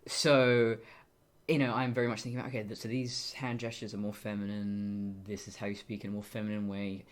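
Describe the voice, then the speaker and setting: A young woman with a deep voice for a woman, talking casually and candidly to camera in a wry, self-interrupting way. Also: level tone, fairly quick. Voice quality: deep voice for a woman, deepened by testosterone. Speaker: vlogger. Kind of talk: casual personal monologue to camera.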